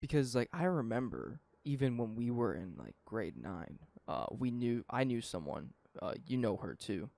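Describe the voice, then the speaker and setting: Young male podcast co-host, gravelly, lively, swears a lot, dry close mic. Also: mid-range voice, normal speed, few pauses, no room sound. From Canada. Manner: profane, rambling, animated. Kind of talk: casual opinion chat.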